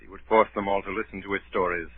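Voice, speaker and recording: An actor playing a man gravely recalling his boyhood as narrator of a 1930s radio drama. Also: low-pitched, flat delivery, fast, dry recording. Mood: grave, reminiscing. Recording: muffled, thin 1930s radio broadcast, faint music.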